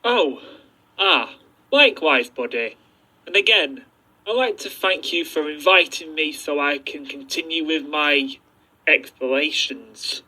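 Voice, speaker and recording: A man playing a polite, halting archaeology professor and explorer in a fan audio drama. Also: a little high, sharp tone, full character voice, low-quality recording.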